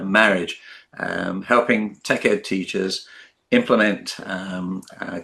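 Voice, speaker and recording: A man's voice: fairly low pitch, vocal fry, a bit bright. An older man in his seventies, a retired teacher, introducing himself on a video call, joking and rambling with many fillers.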